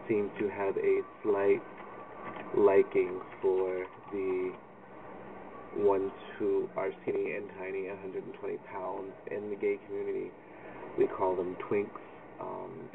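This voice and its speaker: A man's voice: sits low, creaky. A young man, talking candidly and rambling with lots of ums in a personal video blog. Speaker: video blogger. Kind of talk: personal vlog monologue. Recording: distant lo-fi phone-like audio with loud background noise.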